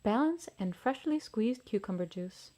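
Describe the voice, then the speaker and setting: A woman's voice: fairly high pitch, animated, unhurried, dark tone. Serene storybook dinosaur aunt, played by a woman, sage and advising.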